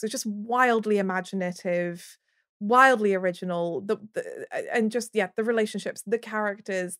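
A woman guest on a podcast speaking earnestly and personally, with emphatic piled-up lists of words. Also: medium pitch.

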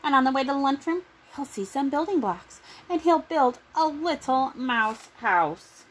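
Woman, middle-aged, high-pitched voice, children's book read-aloud, home recording. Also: lively intonation, a little slow, poor audio. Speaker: children's story reader.

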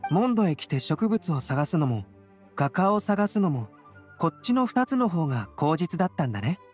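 A woman voicing a serene young male intellectual in an anime game, calm, reflective and polite. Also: on the low side, normal speed, faint music behind. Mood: calm, curious. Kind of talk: Japanese anime game voice acting.

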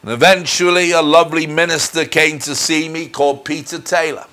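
A middle-aged man giving a personal testimony as a minister, speaking in an earnest, deliberate, measured way. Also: on the high side, fairly even intonation, bright, forceful tone. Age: middle-aged or older. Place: indoors in front of a dark curtain. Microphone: lapel mic.

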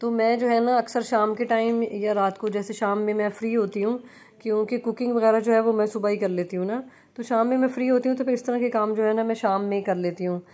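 A young adult woman chatting through a home cleaning vlog as a relaxed voice-over, full of fillers and restarts. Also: on the high side, a little fast. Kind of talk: casual voice-over narration. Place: home kitchen.

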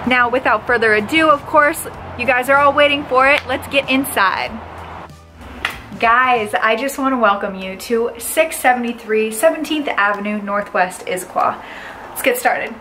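Bubbly high-voiced woman. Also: normal speed, sharp tone, soft background music. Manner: bubbly, enthusiastic, gushing. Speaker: real estate agent. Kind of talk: upbeat house tour vlog. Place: inside a large empty house. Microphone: handheld camera at arm's length.

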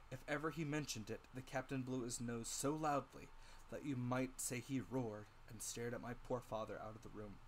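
A man narrating an audiobook as a dramatic reading, with deliberate, theatrical emphasis. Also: mid-range voice, slight background noise, poor audio.

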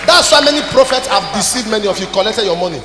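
A man's voice: high voice, expressive, fast, a crowd in the background. A Nigerian man in his forties preaching to a congregation in an emphatic, scolding way, in short declarative phrases. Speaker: preacher, apostle leading a church service. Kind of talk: sermon, addressing a congregation. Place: large church hall, on stage. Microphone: handheld microphone. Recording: echoey large hall PA, music behind.

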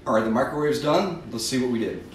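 A middle-aged man gives folksy, step-by-step cooking and safety instructions. He is picked up from across a home kitchen by a distant camera mic, so his voice sits back in the room. The hard surfaces add plenty of echo, and clear background noise runs under his speech. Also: medium pitch, fast talker. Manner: folksy, instructive, addresses kids and parents directly. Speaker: fire safety educator. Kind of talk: cooking demonstration with safety tips. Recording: echoey kitchen, mic far off, audible noise.

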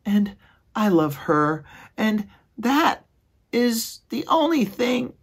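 A man voicing a small, dreamy young male mouse who is lost in love, speaking softly and slowly. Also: high-pitched, big pitch swings, husky, pitched above the performer's own voice, heavily acted. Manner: soft, slow, wistful.

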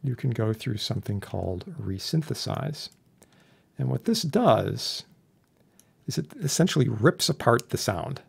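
A man narrating a casual software tutorial as an instructor, explaining things informally. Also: mid-range voice, very animated, unhurried, vocal fry, husky. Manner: informal, explanatory with homely analogies. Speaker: instructor demonstrating audio software. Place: indoor voice-over for a screen recording.

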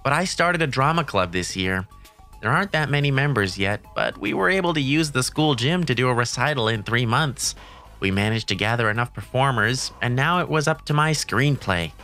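Lively bright narrator over music. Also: medium pitch, moderate pace. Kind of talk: first-person scripted narration for a romantic comedy manga dub.

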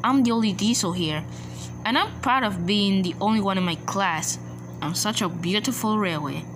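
A woman's voice: mid-range voice, moderate pace, music in the background, lightly acted. A woman voicing a proud, hardworking little railway engine for a cartoon, with an earnest introduction. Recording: noisy recording with hum.

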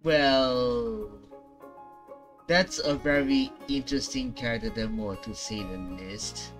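A man's voice: medium pitch, slow, a bit bright, faint music behind. A young adult man giving casual reaction commentary as a gaming host, recorded indoors on a close mic. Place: small indoor room.

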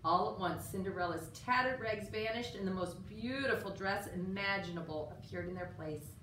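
A middle-aged woman reading a children's picture book aloud in an expressive, measured storytelling style. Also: mid-range voice. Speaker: storyteller at a library. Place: indoor room with story sign. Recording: roomy, distant mic, faint room noise.

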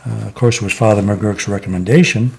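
An older man in his seventies from Connecticut, reminiscing slowly in a sit-down oral history interview, with many pauses and "uh" fillers. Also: fairly low pitch, fairly even intonation, gravelly, a little mellow, husky. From Connecticut, USA. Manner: slow, reflective, many "uh" pauses. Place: living room, seated in armchair.

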